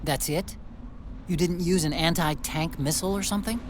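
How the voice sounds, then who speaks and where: An actor playing an earnest, excitable, chatty tech sidekick in a video game. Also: male voice, a little high, moderate pace, noisy background, natural voice. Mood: curious. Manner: chatty, animated, teasing. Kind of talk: video game cutscene acting.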